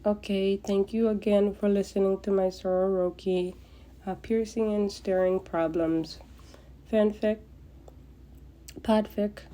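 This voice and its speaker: Very mellow woman narrating fan fiction. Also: medium pitch, steady tone, measured pace, long pauses. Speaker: podfic narrator.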